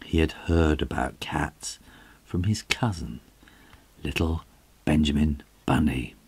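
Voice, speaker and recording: A middle-aged man reading a children's storybook aloud expressively, with dramatic, drawn-out phrasing and a gravelly, husky voice that drops into hushed moments. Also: a bass voice.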